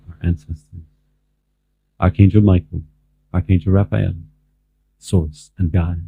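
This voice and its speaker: A man leading a guided meditation as a spiritual mentor, speaking in a slow, calm, measured way with long pauses. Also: deep voice, creaky, husky, no echo. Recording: muffled, phone-like narrow band, dry.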